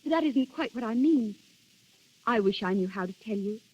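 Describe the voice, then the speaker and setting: A woman's voice: a little high, expressive, normal speed, darkish tone, breathy edge. An actress playing a delicate, dreamy young noblewoman, earnest and theatrical in 1930s radio style. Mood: wistful. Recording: dull 1930s radio recording.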